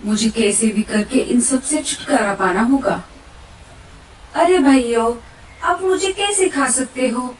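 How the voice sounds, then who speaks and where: Woman voicing high-pitched, dramatic cartoon mother bird. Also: expressive, slow. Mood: pleading, sly. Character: clever, brave mother bird, pleading and scheming. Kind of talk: cartoon voice acting. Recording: roomy echo, distant, faint noise.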